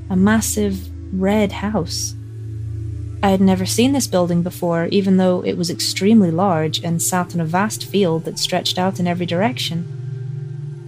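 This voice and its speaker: A woman's voice: medium pitch, darkish tone, natural voice. A woman narrating a horror story in the first person as an uneasy young mother. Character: uneasy young mother recounting an eerie encounter. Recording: narration over background music.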